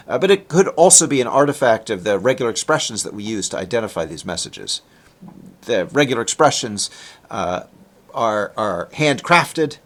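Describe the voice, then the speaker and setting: A man's voice: medium pitch, fairly bright tone. A man giving a technical talk as a presenting researcher, explaining step by step in a conversational way and posing questions as he goes. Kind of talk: technical lecture with explanatory narration of slides.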